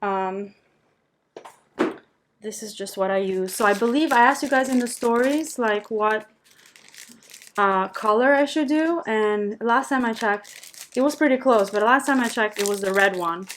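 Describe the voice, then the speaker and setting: A woman's voice: on the high side, a little slow, long pauses, slight background noise. A woman from Albania speaking casually and chattily as she hosts a live craft tutorial, friendly with her viewers. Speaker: host of a craft tutorial livestream.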